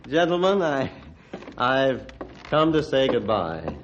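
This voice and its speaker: An actor playing a solemn, humble surgeon with a grave, deliberate delivery in an old-time radio drama. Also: male voice, medium pitch, sing-song, lo-fi sound, slight background noise. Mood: grateful, earnest. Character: solemn, humble male surgeon regaining faith in himself.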